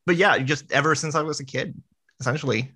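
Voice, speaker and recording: A man's voice: a little high, sharp tone, slightly rough. A man from Sweden, a guest on a podcast, talking casually with lots of fillers and restarts. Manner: halting, with many restarts and fillers.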